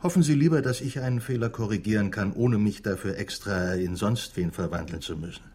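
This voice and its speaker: Husky, sceptical male questioner. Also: fairly low pitch, brisk, darkish tone. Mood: reproachful. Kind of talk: radio drama dialogue.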